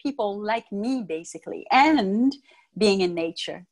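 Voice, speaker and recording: A middle-aged woman from the United States, raised in Martinique, answering interview questions in a calm, measured way from home over earphones. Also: mid-range voice. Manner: calm, thoughtful, measured explaining. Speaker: guest, Ayurvedic practitioner. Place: home room with bookshelves. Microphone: earphone mic on video call.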